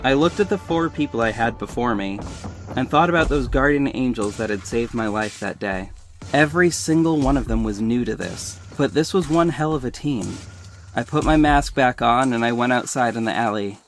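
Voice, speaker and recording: A man's voice: mid-range voice, animated, moderate pace, soft background music. A man giving scripted first-person narration as the lead of an audio drama.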